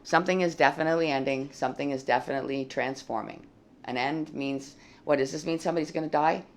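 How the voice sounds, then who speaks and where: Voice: medium pitch, steady tone, fast. A tarot reader giving a casual, blunt reading with a dry, froggy voice and some swearing. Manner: blunt and plain-spoken, swears freely.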